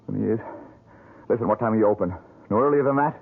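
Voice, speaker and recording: Frantic, husky young man. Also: lowish voice, fast. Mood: anxious. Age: young adult. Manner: urgent, agitated, pleading. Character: distraught young man, desperate and accusing. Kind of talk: 1970s radio drama acting. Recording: tinny old radio broadcast.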